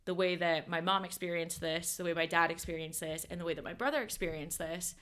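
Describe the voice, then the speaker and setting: A woman's voice: lowish voice, fairly even intonation, brisk, fairly bright tone. A young woman from the United States, a podcast guest in casual chat who rambles with many fillers and corrects herself.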